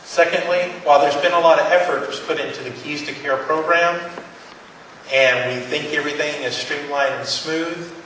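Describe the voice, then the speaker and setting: A man's voice: a little high, steady tone, normal speed, pushed and bright. Man, conference presenter, earnest, encouraging, restarts sentences, echoey hall, heard from afar. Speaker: pharmaceutical company presenter. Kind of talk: conference talk to patients. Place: conference room. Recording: echoey hall from far off, faint noise.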